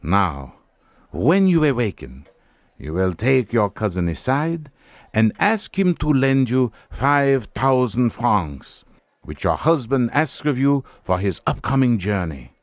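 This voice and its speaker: An actor playing a self-assured French doctor and hypnotist in a radio drama, measured, theatrical and commanding. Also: male voice, medium pitch, big pitch swings, no echo. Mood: commanding, hypnotic.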